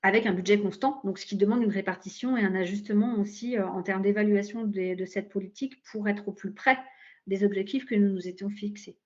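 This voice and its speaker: Femme hésitante, son de visioconférence terne. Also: female voice, mid-range voice, fairly even intonation. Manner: halting, searching for words. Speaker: local government cultural policy official, panel speaker. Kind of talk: explanatory webinar presentation. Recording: dull, lo-fi online call audio.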